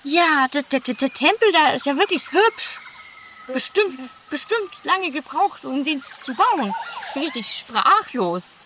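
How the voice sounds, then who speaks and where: A woman's voice: high voice, animated, a little slow, sharp tone, heavily acted. A woman playing a flighty, curious lady in an amateur comedy radio play, theatrical and chatty. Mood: gushing. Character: gushing, flighty, curious, easily unsettled lady. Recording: distant, faintly noisy amateur 1980s recording.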